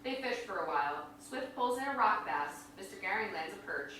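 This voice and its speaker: A woman's voice: mid-range voice, expressive, a little fast, a bit bright. A woman reading stage directions as scripted narration at a staged play reading. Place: indoor venue, staged reading. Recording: very echoey room from far off, noisy.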